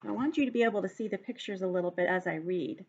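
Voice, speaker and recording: A woman speaking as a teacher at storytime, addressing young children directly with expressive, careful delivery. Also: mid-range voice, fast. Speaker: teacher reading a story to students. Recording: dull, lo-fi home recording.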